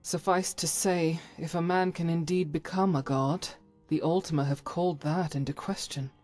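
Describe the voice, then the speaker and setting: Casting: actress for grave, pious female game follower, low voice, formal and measured, faint music underneath. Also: warm tone. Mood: solemn. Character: devout, grave female warrior companion, principled and formal. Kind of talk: scripted fantasy game dialogue.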